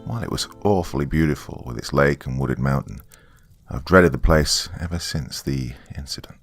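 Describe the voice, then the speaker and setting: A man narrates a scripted first-person horror tale. His voice sits low with a creaky, gravelly grain, and the sound is close and dry. The telling is subdued and measured. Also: dry recording, faint music behind.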